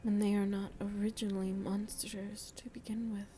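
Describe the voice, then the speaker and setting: A woman voicing a seductive, motherly vampire in an ASMR roleplay, soft and breathy, often whispering as she muses intimately to the listener. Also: mid-range voice, flat delivery, a little hiss or hum, natural voice. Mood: musing, intimate. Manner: slow, deliberate, musing, addresses listener tenderly. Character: seductive motherly female vampire, worldly, philosophical, gently teasing.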